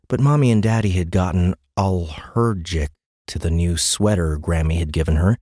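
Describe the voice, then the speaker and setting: A man narrates an audiobook in a low, husky voice. He reads scripted story prose, and the sound is close and very dry. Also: mellow, no echo.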